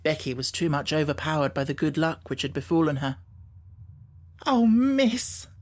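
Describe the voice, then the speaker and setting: A woman's voice: sits low, little pitch movement, fast. A woman reading a children's novel as scripted audiobook narration.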